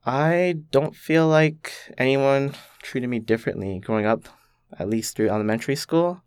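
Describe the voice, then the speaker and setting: A young man from Canada, thoughtfully telling his own story as a podcast guest, with many pauses and "like" fillers. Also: medium pitch, darkish tone, smooth, no echo. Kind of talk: reflective personal storytelling.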